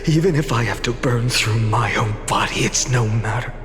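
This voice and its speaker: A man voicing a resolute, self-sacrificing young warrior in a game cutscene, solemn and measured. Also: medium pitch, lightly acted. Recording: reverberant with music behind.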